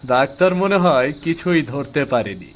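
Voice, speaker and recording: A man's voice: medium pitch, big pitch swings, moderate pace, a little hiss or hum, natural voice. A man voicing an anxious, guilty young husband in a cartoon. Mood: nervous. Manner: anxious, pleading. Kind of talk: Bengali cartoon dubbing.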